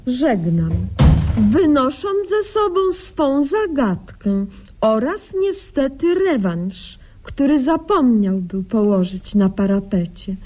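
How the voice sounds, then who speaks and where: Young woman doctor, high-pitched, very slow, pompous, stilted wording, comic radio sketch. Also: big pitch swings, warm tone, slight background noise, heavily acted. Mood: solemn. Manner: solemn, stilted, mangled official phrasing. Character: earnest, pompous young woman doctor narrating her diary. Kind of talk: comic radio sketch acting, mock-literary narration.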